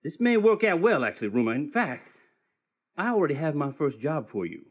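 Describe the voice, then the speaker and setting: An actor playing a smooth-talking crook who poses as a folksy American traveler, in comic live radio theatre, hesitant and evasive. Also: male voice, fairly high pitch, big pitch swings, a little fast. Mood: conniving. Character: smooth-talking crooked man posing as weary, friendly traveler. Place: live theatre stage with audience.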